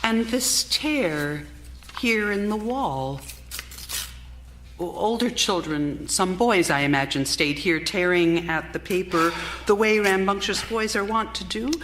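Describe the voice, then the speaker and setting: A woman's voice: mid-range voice, expressive, slow pace, fairly bright tone. A woman playing an anxious, meek young wife in live period radio theater, with fretful, formal old-fashioned diction. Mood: fretful disgust. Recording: live theater recording, clear background noise.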